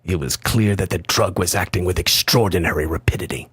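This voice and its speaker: An actor playing an anxious, disapproving friend who also narrates a radio horror drama, earnest and formal with a husky voice. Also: male voice, low-pitched, a little fast. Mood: tense narration.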